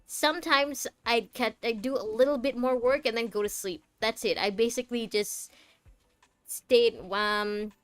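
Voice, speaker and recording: A woman's voice: a little high, measured pace, dry recording. A young woman streaming a game, chatting casually and animatedly with her viewers, laughing often and greeting them by name. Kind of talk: casual live-stream chat.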